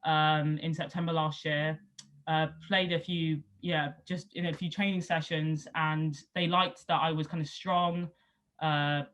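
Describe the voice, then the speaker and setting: A young woman with a West Country lilt from Somerset talks through her backstory in a relaxed, chatty flow. She fills her sentences with ums and likes, and lifts her voice when she acts out what other people said. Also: low-pitched, measured pace, slight background noise. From Somerset, England. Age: 20s. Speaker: rugby league player. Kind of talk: casual interview answers.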